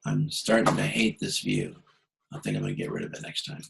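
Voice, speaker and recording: A man giving an informal online lecture as a course instructor, halting and correcting himself as he thinks aloud. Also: rumbling low, wildly swooping pitch, gravelly, slight background noise. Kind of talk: informal lecture explaining slides. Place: indoor room, online video call. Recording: echoey room on video conference.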